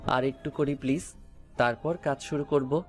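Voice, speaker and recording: A man's voice: medium pitch, steady tone, unhurried, a little mellow, natural voice. A man playing a coy, mischievous newlywed husband in a romantic audio story. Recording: music bed under the voice. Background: music.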